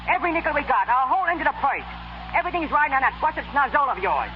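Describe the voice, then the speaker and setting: A streetwise, scolding New York fight manager in a 1930s radio comedy. His very high voice swoops emphatically as he badgers in slang. The old recording is muffled. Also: sing-song, fast talker, noisy background. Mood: pleading, exasperated. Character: exasperated, streetwise Brooklyn boxing manager, wheedling and scolding.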